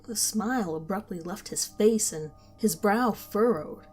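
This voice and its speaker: A woman's voice: medium pitch, measured pace, darkish tone. Woman narrating a horror story. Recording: faint background music.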